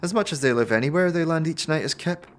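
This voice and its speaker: Casting: scrappy, cagey young female rogue, played by a man, high and lively voice, slangy street talk, fantasy audio drama. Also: quick pace, close to an everyday voice. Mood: wry. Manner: slangy underworld cant, snappy.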